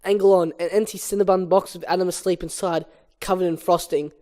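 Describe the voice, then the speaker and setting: A man's voice: high-pitched, steady tone, fairly quick, mellow. A man reading a script informally as an amateur, with a weary, exasperated, crude manner.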